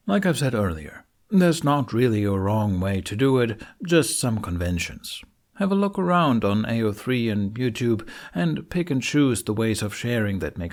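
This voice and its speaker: Lively, informal man narrating a tutorial. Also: fairly low pitch. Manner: informal, wry asides. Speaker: tutorial presenter.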